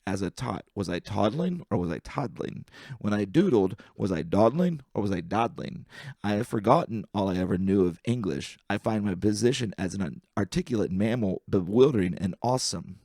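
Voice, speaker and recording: A man with a lowish voice and a lot of gravelly fry reads light verse aloud. His delivery is wry and deliberate, each word carefully pronounced. The sound is close and dry, with very little room in it. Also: lively intonation, warm tone, dry recording. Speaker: amateur reader of poetry. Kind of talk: poetry reading aloud.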